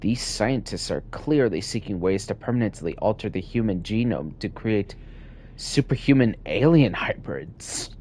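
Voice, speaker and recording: A man streaming a game, chatting casually and reading in-game notes aloud in a relaxed way. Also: fairly low pitch, measured pace, slight background noise. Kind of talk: casual gameplay commentary.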